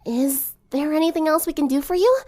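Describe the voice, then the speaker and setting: Lady doing a worried soft teen girl, high pitched n pleading, storybook reading. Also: a little fast, natural voice. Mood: anxious, caring. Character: worried, gentle teenage girl pleading with a sick friend.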